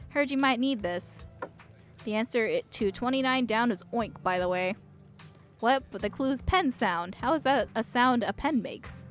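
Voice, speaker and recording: A woman streamer giving casual, informal game commentary and reading aloud in an expressive, reactive way. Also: on the high side, moderate pace, faint music behind.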